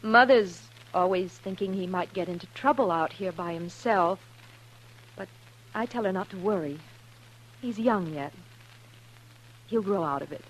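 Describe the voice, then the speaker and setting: A woman's voice: fairly low pitch, measured pace, pauses often, close to an everyday voice. An actress playing a trusting, genteel young lady in a 1950s radio western, speaking politely and earnestly. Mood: earnest, hopeful. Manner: polite, soft-mannered, earnest. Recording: noisy 1950s radio recording with hum.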